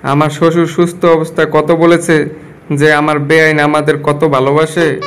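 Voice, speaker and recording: A man's voice: on the high side, little pitch movement, normal speed, fairly bright tone, lightly acted. A man voicing a naive, foolish young son-in-law in a Bangladeshi Bengali cartoon, with a Bangladeshi Bengali accent. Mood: earnest. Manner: earnest, naive and boastful. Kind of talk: cartoon voice acting.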